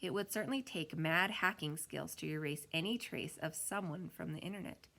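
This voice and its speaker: A woman reading a children's story aloud like a teacher, with expressive delivery. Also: low-pitched, normal speed, gravelly. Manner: expressive reading with acted-out dialogue.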